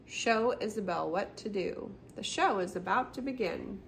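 A middle-aged woman hosting a storytime, reading a children's picture book aloud with expressive, simple storyteller phrasing for young children. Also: mid-range voice, normal speed. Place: small indoor room by a brick wall, seated. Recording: clearly noisy home recording.

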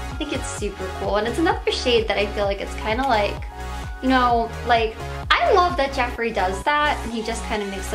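Young female vlogger, higher voice, animated and bubbly, lots of "like"s, a bit strained, music under her. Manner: gushing, filler-heavy, lots of "like" and "oh my gosh". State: slightly strained voice. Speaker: beauty vlogger. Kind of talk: casual product showcase to camera. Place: small bedroom with plush toys, indoors.

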